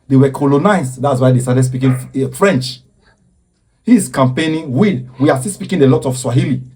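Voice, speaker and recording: A man's voice: mid-range voice, normal speed, mellow, slightly rough. A man giving impassioned social commentary in an earnest, preaching way, often stopping to repeat himself.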